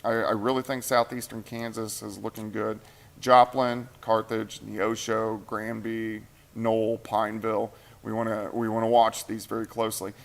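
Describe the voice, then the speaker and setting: A man's voice: on the low side, flat delivery, moderate pace, vocal fry. A man doing live late-night weather coverage in a TV studio, talking casually and thinking aloud as he reads viewer comments, tired and hungry. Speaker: meteorologist. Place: TV station weather center. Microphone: shared mic.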